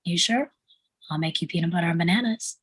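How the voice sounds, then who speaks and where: A low, raspy young woman's voice in a very dry recording, acted by a woman at a table read. She plays a blunt, sarcastic sitcom sister, exasperated but caring. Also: a little fast, dry recording. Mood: tired, sarcastic.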